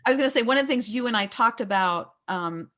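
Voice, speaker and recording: A woman's voice: on the high side, lively intonation, fast, sharp tone. A woman leading a book discussion in a conversational way, often repeating words as she forms a point. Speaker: discussion leader at a book talk.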